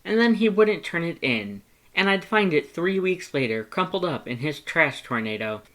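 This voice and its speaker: A performer voicing an excitable, earnest male skeleton with a warm heart and emphatic, theatrical delivery, in a fan fiction audiobook. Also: mid-range voice, moderate pace, pitched above the performer's own voice. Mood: amused, exasperated.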